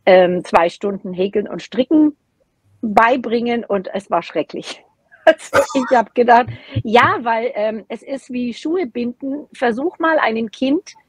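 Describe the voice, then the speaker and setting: A woman's voice: fairly high pitch, a little slow, breathy edge, no room sound. A middle-aged woman, chatting casually and candidly as a podcast guest. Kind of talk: casual chat. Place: small room at home, indoors.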